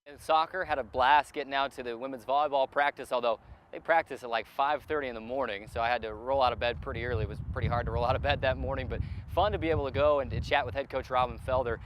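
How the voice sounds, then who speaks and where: A man's voice: mid-range voice, steady tone, fast talker, fairly bright tone, slight background noise, rough recording. A man hosting a sports show in a conversational broadcast style, outdoors at a stadium.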